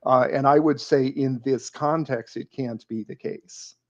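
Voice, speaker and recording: A man's mid-pitched voice comes through slightly dull and muffled. He co-hosts a relaxed theology talk, explaining at length and circling back with fillers and repeated starts. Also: unhurried. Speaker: podcast co-host. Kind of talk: casual theological discussion.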